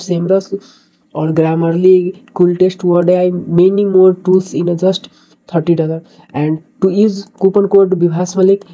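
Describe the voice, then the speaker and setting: A man's voice: high voice, dark tone. Very muffled, Nepali-accented man. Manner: halting, repeats words. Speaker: tutorial host. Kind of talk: screen-recorded tutorial narration. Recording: dull, muffled lo-fi microphone.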